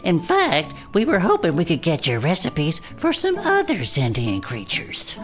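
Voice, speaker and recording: A woman's voice: low voice, wildly swooping pitch, measured pace, music in the background. Wry woman, wildly varied intonation. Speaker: tabletop game player. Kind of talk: in-character improvised roleplay.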